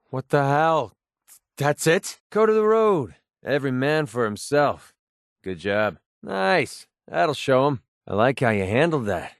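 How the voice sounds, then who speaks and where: A man's voice: high voice, a bit bright, no echo, clean studio sound, heavily acted. An actor playing a cocky, sarcastic young male mercenary in a video game, delivering brash, clipped companion one-liners. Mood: wry.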